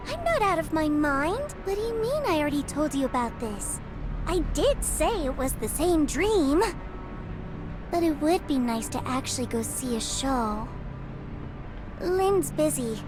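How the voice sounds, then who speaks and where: A shy young girl from a video game, with a high, cute voice. She speaks slowly and dreamily in a childlike way, over clear background music. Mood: mildly indignant, then wistful.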